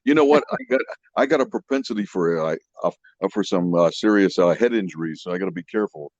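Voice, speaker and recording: A man's voice: sits low, fast talker, a little mellow, dry recording. A man chatting casually as a podcast guest in a folksy, self-deprecating way, on slightly dull audio. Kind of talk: casual chat.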